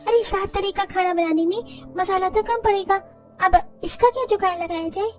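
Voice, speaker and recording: A young village daughter-in-law from a cartoon moral tale, resourceful and sure of herself , acted by a woman. She speaks extremely high, slightly off the mic, over faint music. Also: normal speed, recorded from a distance. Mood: brisk, practical. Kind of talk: moral-story cartoon dialogue.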